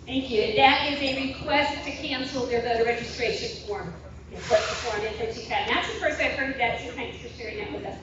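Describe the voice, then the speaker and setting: A woman hosting a local meeting, brisk and bantering. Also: on the high side, lo-fi sound. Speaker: meeting host. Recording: distant, very echoey, noisy hall recording.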